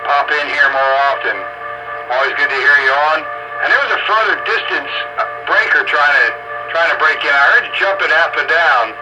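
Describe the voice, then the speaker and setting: A man's voice: on the high side, lively intonation, brisk, music in the background. A man with a folksy, ribbing manner, chatting casually over two-way radio as an operator. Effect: two-way radio transmission. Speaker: radio operator fielding breakers. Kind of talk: casual CB radio chat with teasing. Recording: noisy CB radio transmission.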